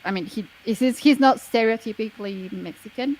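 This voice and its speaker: A woman with a fairly high voice lays out her view in a relaxed chat. She explains step by step and keeps softening her points with little hedges. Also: normal speed, a little hiss or hum, poor audio. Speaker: podcast guest. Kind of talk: casual pop-culture chat.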